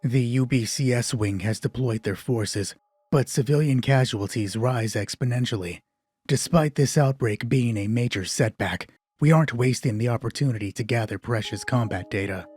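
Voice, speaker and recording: A man's voice: mid-range voice, moderate pace, darkish tone, husky, natural voice. A man voicing a cold, authoritative corporate commander who gives a mission briefing in a clipped, formal manner. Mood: cold, stern. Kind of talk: video game style mission briefing.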